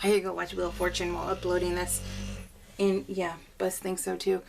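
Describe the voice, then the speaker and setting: A woman's voice: fairly low pitch, completely flat delivery, a little fast, creaky. A woman vlogger chatting casually and bubbly to her viewers, rambling in a scattered way and circling back on her thoughts. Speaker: crafting vlogger.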